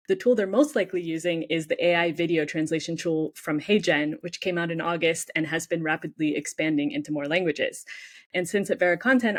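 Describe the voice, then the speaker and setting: A woman hosting a podcast in a casual, upbeat way, often saying "like" and nodding along with "mm-hmm.". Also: lowish voice. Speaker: podcast host.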